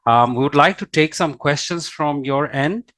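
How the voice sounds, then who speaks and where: A man's voice: on the high side, normal speed, fairly bright tone. A man moderating an online course session on a video call, politely taking questions with frequent hesitant fillers. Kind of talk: hosting an online Q&A.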